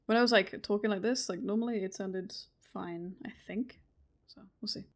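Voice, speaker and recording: A woman streamer chatting casually while she plays, relaxed and self-deprecating, swearing now and then and laughing often, a deep voice at a desk mic set back a little. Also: normal speed. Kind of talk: casual livestream chat while playing. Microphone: desk mic moved a little further from her face.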